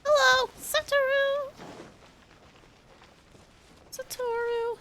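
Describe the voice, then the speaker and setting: A woman's voice: extremely high pitch, steady tone, very slow, pushed and bright, a little hiss or hum. A woman live streaming a game with casual, upbeat commentary, chirpy and often repeating her own phrases.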